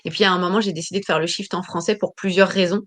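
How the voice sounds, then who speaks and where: A woman in her thirties, a business coach talking about her career in an interview, pausing often with fillers and restarting phrases. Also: on the low side, steady tone. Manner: hesitant, many fillers and restarts. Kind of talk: interview answers.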